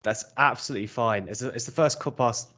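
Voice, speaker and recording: A man's voice: mid-range voice, fast, a bit bright. A man from England hosting a podcast, upbeat and encouraging in a casual interview. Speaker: podcast host.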